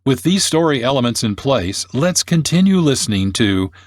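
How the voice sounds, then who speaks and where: A man's voice: mid-range voice, big pitch swings, normal speed, no room sound, clean studio sound. A man hosting a radio program with scripted documentary narration. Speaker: host.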